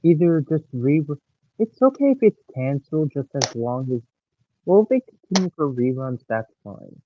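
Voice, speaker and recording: A late-teen guy talking on a stream call, his pitch swinging up and down as he makes his points. He hesitates and restarts, then argues with conviction. The line sounds thin and phone-like, with a faint hiss behind it. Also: moderate pace. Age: late teens. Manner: hesitant, animated, opinionated fan. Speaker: stream guest. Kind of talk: casual chat.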